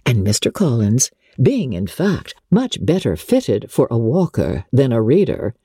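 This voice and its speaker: A woman narrates classic literature in a dark, mellow, husky voice. Her delivery is theatrical and measured, with very animated intonation. The sound is close and dry. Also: rumbling low, no echo. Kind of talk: expressive scripted audiobook narration of a classic novel.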